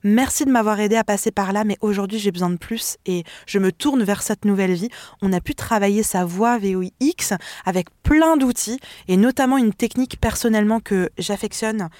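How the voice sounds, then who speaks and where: Expressive French-speaking woman vocal coach. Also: medium pitch, brisk, no echo, studio quality. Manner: expressive, laughs easily, frequent restarts. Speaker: vocal mentor and singer. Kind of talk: radio interview guest.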